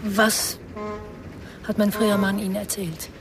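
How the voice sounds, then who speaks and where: An actress playing a secretive, guilt-laden estranged wife, earnest and deliberate, in a German radio crime drama. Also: female voice, mid-range voice, level tone, noisy background, lightly acted. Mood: guarded. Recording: music behind the voice.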